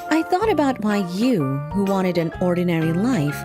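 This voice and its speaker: A woman's voice: mid-range voice, big pitch swings, moderate pace, natural voice. A woman voicing a playful, teasing young female teacher in a dubbed manga story, over background music. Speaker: voice actor in a manga dub.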